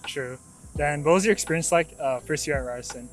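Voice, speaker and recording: Young man hosting, higher voice, noisy recording. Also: a little fast. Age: young adult. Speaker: interviewer and video host. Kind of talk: casual chat interview.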